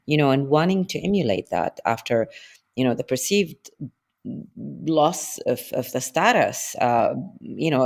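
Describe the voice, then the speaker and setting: A woman's voice: rumbling low, a little mellow. A woman guest analyst in a podcast interview, explaining at length in a thoughtful way.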